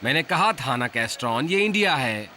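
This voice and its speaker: Boastful cartoon scientist-adventurer: theatrical, self-assured, bright, very lively intonation. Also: male voice, medium pitch, moderate pace, faint music behind. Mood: reassuring. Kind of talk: Hindi cartoon dub acting.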